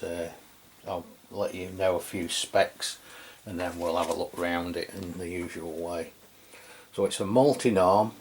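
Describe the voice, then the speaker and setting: Male product reviewer, English accent, low voice, conversational and halting unscripted walkthrough. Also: darkish tone. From England. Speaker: radio reviewer, channel host.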